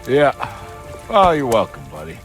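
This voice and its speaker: An actor playing a gruff, wry private detective in a horror video game. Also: male voice, medium pitch, huge pitch swings, moderate pace. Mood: wry, rattled. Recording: dialogue over game music.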